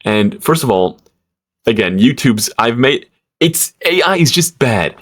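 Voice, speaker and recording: A man's voice: mid-range voice, very animated, measured pace, fairly bright tone. A young man from Switzerland ranting casually to camera, rambling with stammered restarts, lots of fillers and swearing. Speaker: vlogger.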